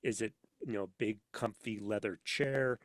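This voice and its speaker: Low-voiced man chatting in a dry studio. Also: a little slow, no echo. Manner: animated storyteller, acts out imagined dialogue. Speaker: podcast guest, sound designer for audio dramas. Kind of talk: casual interview chat with anecdotes. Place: inside a studio.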